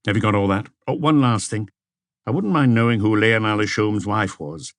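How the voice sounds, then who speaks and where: A man plays a seasoned police chief in a detective audiobook. He speaks in a low voice, terse and methodical, commanding and businesslike, recorded dry. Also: brisk, no room sound, natural voice. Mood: brisk, commanding. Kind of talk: audiobook character dialogue.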